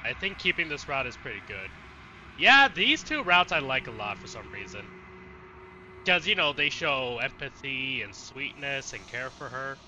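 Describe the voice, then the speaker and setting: A man's voice: medium pitch, sing-song, moderate pace, pushed and bright, slight background noise, poor audio. A man streaming a let's play, commenting casually and bluntly and thinking aloud as he goes. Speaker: gaming streamer.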